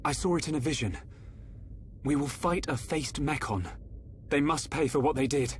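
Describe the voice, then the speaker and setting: A man's voice: fairly high pitch, normal speed, a little hiss or hum, lightly acted. An actor playing a resolute young male hero in a fantasy video game, speaking politely and earnestly. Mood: determined. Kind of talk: dramatic video game voice acting.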